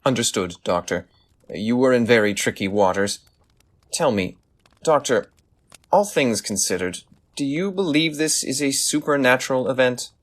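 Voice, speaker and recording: An actor playing a brisk, sceptical Victorian detective in an audio drama, speaking in a formal, inquisitive way. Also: male voice, medium pitch, animated, lightly acted. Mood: measured, decisive.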